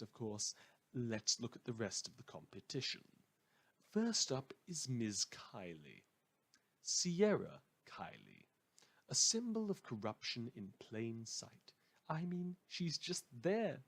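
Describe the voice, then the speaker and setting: Casting: male actor for opinionated, melodramatic radio host in an audio drama; rough-edged voice, highly varied intonation, sardonic and theatrical delivery. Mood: wry, measured, then mocking. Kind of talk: scripted satirical radio monologue in a fan audio drama.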